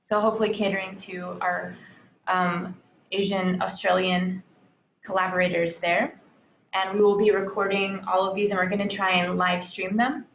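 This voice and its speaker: A woman's voice: medium pitch, normal speed. Woman host on a video call, chill and informal, roomy echo, muffled audio, bit of hiss. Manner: relaxed, informal, frequent ums. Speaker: meeting host. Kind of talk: casual online meeting updates. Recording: dull, echoey, faint noise.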